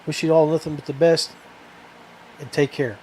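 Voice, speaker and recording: A man hosting a fishing podcast in a casual, rambling, halting way that often trails off. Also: on the high side, fairly even intonation, warm tone, slight background noise, low-quality recording.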